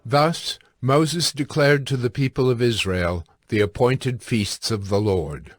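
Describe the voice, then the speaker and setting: A man narrating scripture as a solemn, deliberate storyteller in a scripted production. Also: medium pitch, no echo.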